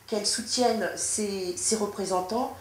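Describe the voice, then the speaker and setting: A woman from France speaks formally and deliberately, often restarting her sentences as she answers an interviewer. Her voice sits at a middle pitch and carries a roomy echo. A faint hiss stays underneath. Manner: measured, formal, with frequent restarts. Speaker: politician being interviewed. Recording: echoey room with faint noise.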